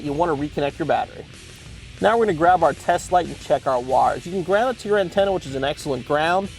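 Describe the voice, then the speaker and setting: A man hosting an instructional car installation demonstration, explaining step by step in plain-spoken language. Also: fairly high pitch. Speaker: host of car installation how-to videos.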